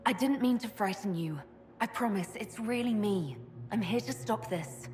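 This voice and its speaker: An actress playing an earnest, compassionate heroine in a video game, sincere and reassuring. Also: female voice, mid-range voice, moderate pace, darkish tone, close to an everyday voice. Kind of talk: dramatic video game cutscene acting. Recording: echoey hall, faint noise.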